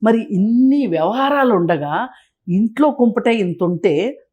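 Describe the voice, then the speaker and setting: A woman's voice: fairly high pitch, animated, unhurried, warm tone, slightly rough, lo-fi sound. A woman speaking as an interview guest, giving critical, opinionated political commentary in a colloquial, conversational way.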